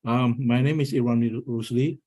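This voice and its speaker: A man from Indonesia asking a question in an online webinar, hesitant and full of pauses. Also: medium pitch, steady tone, mellow. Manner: hesitant, many fillers. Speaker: audience member asking a question.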